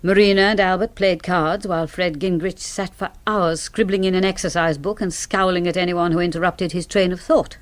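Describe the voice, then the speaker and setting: A woman playing a composed, dry-witted doctor and amateur sleuth in a radio mystery, speaking in a measured, articulate, wry way. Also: lowish voice, steady tone, lightly acted. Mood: narrating. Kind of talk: British radio mystery drama acting.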